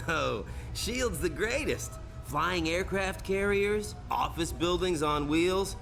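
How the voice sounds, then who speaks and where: An actor playing a wisecracking, excitable young superhero in a video game, joking and sarcastic. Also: male voice, high voice, takes it slowly, vocal fry, faint music behind, exaggerated voice. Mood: amused, teasing. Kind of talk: video game hero acting.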